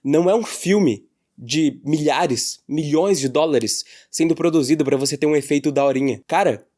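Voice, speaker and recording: Brazilian male YouTuber, slightly high register, informal and slangy, for casual opinion commentary. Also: normal speed, a little mellow.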